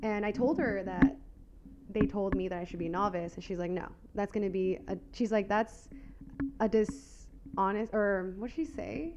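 A woman's voice: mid-range voice, moderate pace, noisy background. A young American woman talking as a guest in casual chat, full of "like" and easy laughs. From United States. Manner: chatty, fills with "like", laughs easily. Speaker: guest, figure competitor.

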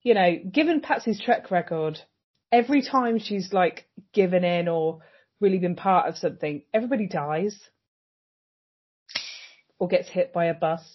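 A woman's voice: fairly low pitch, moderate pace, pauses often, husky. A woman co-hosting a podcast, chatting casually about a TV episode and acting out lines from it. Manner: animated, acts out quoted lines. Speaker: podcast co-host. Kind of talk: casual chat recapping a TV episode. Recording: dull lo-fi sound.

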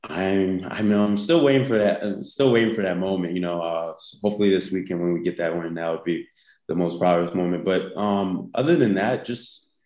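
A young man from the United States, a football player being interviewed, answering in an easygoing, halting way with many pauses. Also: deep voice, a little mellow. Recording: roomy echo.

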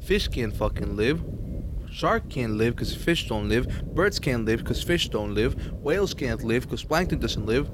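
Profane, excitable young man explaining. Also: medium pitch, measured pace, background noise. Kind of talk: casual podcast chat. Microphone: shared podcast microphone.

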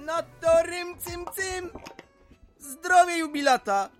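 A merry tipsy uncle, high-pitched, drawn-out delivery, theatrical teasing, radio play. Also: male voice, over music. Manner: theatrical, teasing, mock-solemn. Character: jovial tipsy husband and jokester uncle at a party. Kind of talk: Polish audio drama dialogue.

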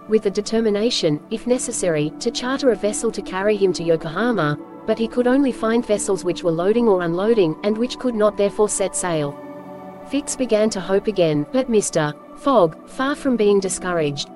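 A woman's voice: medium pitch, a little mellow. A woman reading scripted audiobook narration as the storyteller. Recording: faint music behind narration.

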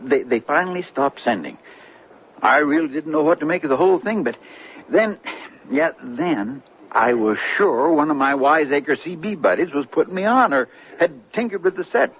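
An actor playing an earnest, excitable CB radio hobbyist who tells his story urgently, stammering, in 1970s radio drama style. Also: fairly high pitch, moderate pace, breathy edge. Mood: flustered. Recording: dull lo-fi radio broadcast with music.

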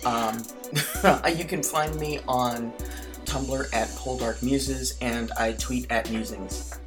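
A woman from the United States co-hosting a podcast, animated and giggly, reading summaries aloud. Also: extremely low pitch, slow, over music. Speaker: podcast co-host. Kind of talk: casual chat.

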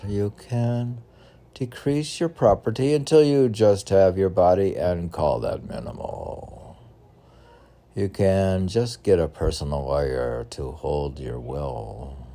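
A man reading his own poetry, with rambling casual asides in an unhurried, halting, wry way. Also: low-pitched, dark tone. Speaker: poet reading own work.

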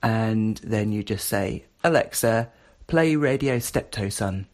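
Low-voiced man reviewing casually. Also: expressive, takes it slowly, a little mellow. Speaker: podcast host reviewing voice-assistant skills.

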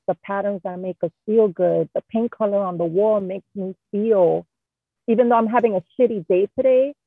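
A woman's voice: fairly low pitch, husky, no room sound. Candid woman on a tinny dry line. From Queens, New York. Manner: candid, animated storyteller with slang. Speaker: guest interior designer. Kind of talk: casual conversational interview.

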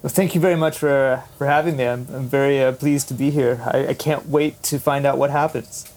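A man's voice: medium pitch, steady tone, a little fast, a little mellow, slight background noise. A man from Texas, a podcast guest, answering with dry humor and many hesitant fillers. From Texas, USA. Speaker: podcast guest, teacher abroad. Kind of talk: casual interview answers.

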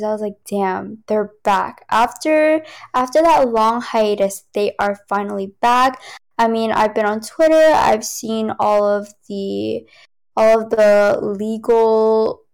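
A woman's voice: fairly high pitch, vocal fry, a little mellow. A young American woman, a Cantonese speaker, chatting casually and playfully with slang and frequent laughs. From United States. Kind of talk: casual chat.

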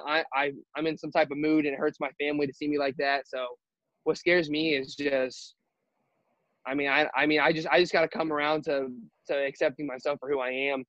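A teenage high school quarterback with a high-pitched voice, answering interview questions earnestly with many fillers and restarts. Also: male voice, a bit bright, no echo. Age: teens. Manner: halting, filler-heavy, earnest. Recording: dull, muffled audio.

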